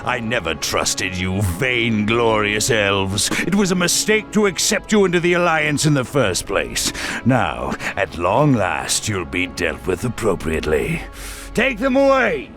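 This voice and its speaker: Wildly swooping, pompous military commander. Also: male voice, lowish voice, wildly swooping pitch, measured pace, exaggerated voice. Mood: barking, furious. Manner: pompous, sneering, theatrical. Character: arrogant, bigoted human military commander, bellowing and spiteful. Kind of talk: dramatic fantasy game voice acting. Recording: background music under the voice.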